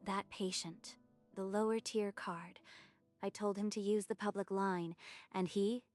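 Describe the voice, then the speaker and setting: A woman's voice: medium pitch, fairly even intonation, unhurried, darkish tone, faint music behind, lightly acted. A woman voicing a proud female dentist who runs a clinic, talking in indignant, anxious inner monologue.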